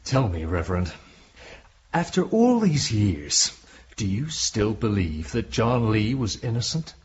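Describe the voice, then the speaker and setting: An actor playing a tormented, remorseful man who probes and challenges with slow intensity in a radio drama. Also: lowish voice, big pitch swings, darkish tone, dull audio, slight background noise. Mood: challenging, bitter. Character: tormented guilty man questioning a priest, bitter and remorseful.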